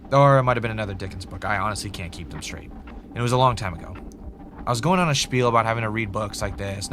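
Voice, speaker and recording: A man acting as a bitter ex-reality show winner confessing in an audio drama. His low voice is reflective and self-justifying, with dramatic pauses, over clear background noise. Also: close to an everyday voice. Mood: wry, reflective. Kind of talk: confessional first-person scripted audio drama monologue.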